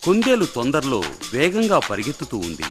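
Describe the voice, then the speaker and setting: A man's voice: mid-range voice, huge pitch swings, brisk, fairly bright tone. A man narrating a scripted moral story for children as the storyteller. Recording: long hall reverb, faint music.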